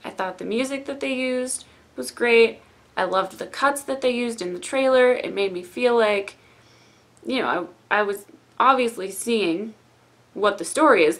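A young woman hosting a trailer reaction in a room lined with bookshelves, hoarse from losing her voice and chatting in a gushing, excited way into a desk webcam. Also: medium pitch. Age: young adult. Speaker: YouTube reaction host.

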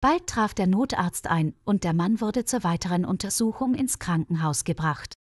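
A woman's voice: fairly low pitch, animated, moderate pace, no echo, clean studio sound. A woman reading a scripted story for language learners, enunciating carefully as narrator.

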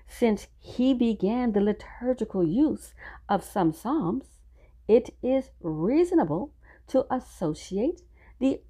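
Dark-voiced woman teaching scripture. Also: medium pitch, slightly rough. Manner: earnest, instructive, addresses listeners directly. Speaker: Bible study teacher.

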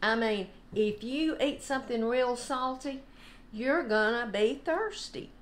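An older woman giving an informal, unhurried devotional talk. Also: on the high side. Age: older, about 70s. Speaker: devotional host. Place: home kitchen, indoors.

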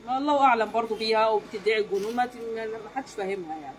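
A woman's voice: a little high, racing, poor audio. A woman in her thirties with an Egyptian accent answers as an eyewitness in a street interview, in a matter-of-fact way. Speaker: neighbour giving eyewitness account. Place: outdoors against a brick wall in a street. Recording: voices around, outdoor street recording.

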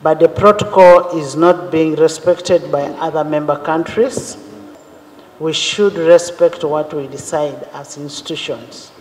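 A legislator, a man, giving a slow, deliberate formal speech in a large parliament chamber on a desk microphone. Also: fairly high pitch, a little hiss or hum. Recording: reverberant hall.

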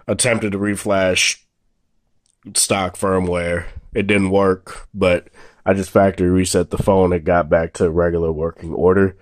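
A man's voice: low voice, no echo, studio quality. A young man giving a casual, rambling tech review at home, his voice a little sore after being ill. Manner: relaxed, rambling, many fillers and restarts. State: slightly sore voice after illness. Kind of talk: casual hands-on phone review. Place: indoors at a table, off camera.

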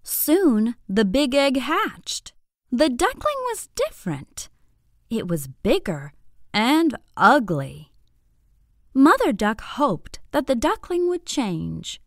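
A woman narrating a scripted bedtime story for young children in an expressive, deliberate, clearly phrased way. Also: high voice, no echo, studio quality.

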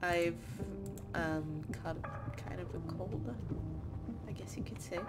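A woman's voice: on the low side, slow, loud music behind the voice. A young woman with a stuffy nose from a cold, chatting and giggling through casual gameplay commentary as a let's play host. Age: young adult. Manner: giggly, chatty, swears mildly.

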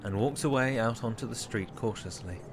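A man's voice: medium pitch, a little fast, background noise. A man giving scripted narration for an audio drama, describing the action. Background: music.

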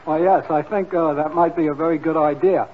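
A man's voice: a little high, fairly even intonation, a little fast. A man giving hesitant answers as a guest on a 1940s radio panel. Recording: muffled, noisy 1940 radio broadcast.

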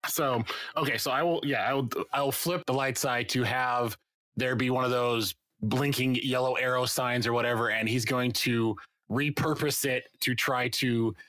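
A man's voice: mid-range voice, steady tone, normal speed, pushed and bright, no room sound. A man chatting casually as a player in a tabletop roleplaying game.

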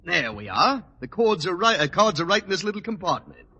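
An actor playing a pompous, blustering middle-aged education official in a 1940s radio sitcom. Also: male voice, sits high, very animated, fairly quick, sharp tone, lo-fi sound, heavily acted. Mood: curious, stiff. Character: pompous, easily indignant chubby state education official. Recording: old radio recording, faint noise.

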